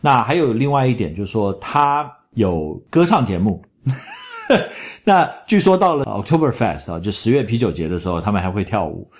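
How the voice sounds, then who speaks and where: A middle-aged man, chatting in a travel vlog voice-over and telling stories in an animated way. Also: on the low side, moderate pace. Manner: chatty, animated storytelling with laughs. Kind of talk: casual travel commentary voice-over.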